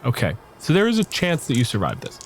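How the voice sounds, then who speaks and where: A man's voice: medium pitch, huge pitch swings, quick pace, a little hiss or hum. A man at a tabletop game casually talking through rules and mechanics.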